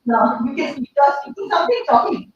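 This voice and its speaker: A middle-aged woman with an Indian English accent and a hoarse voice, giving an animated conference talk and questioning the teachers in the audience. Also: sits high, fast, a little hiss or hum. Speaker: speaker addressing teachers. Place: large echoey hall. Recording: echoey hall from far off, dull.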